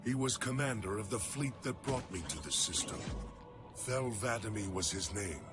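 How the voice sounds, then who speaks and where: A man's voice: lowish voice, expressive, lightly acted. A man voicing a loyal alien warrior in a game cutscene, solemn, formal and resolute yet mournful. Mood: grave. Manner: solemn, formal, measured phrasing. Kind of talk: dramatic game cutscene acting. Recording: loud music underneath.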